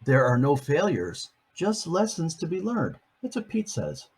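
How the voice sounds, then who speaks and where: A man's voice: on the high side, huge pitch swings, measured pace, darkish tone, slightly rough. An older man, a grandfather, reading a picture book aloud to his grandchildren and chatting about each page. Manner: chatty, explains each page in plain words.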